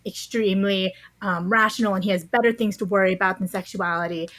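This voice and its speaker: A woman's voice: mid-range voice, steady tone, quick pace, a bit bright, no room sound. A woman speaking as an interview guest, explaining things in an articulate, conversational way. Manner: articulate, explanatory, often checks with "you know" and "right?". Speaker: podcast guest, author interviewed on a book.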